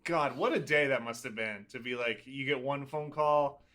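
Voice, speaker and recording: A man's voice: mid-range voice, moderate pace, fairly bright tone. A man in his late twenties co-hosting a podcast, chatting casually with dry, wry asides. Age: 20s. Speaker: podcast co-host. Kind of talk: casual chat.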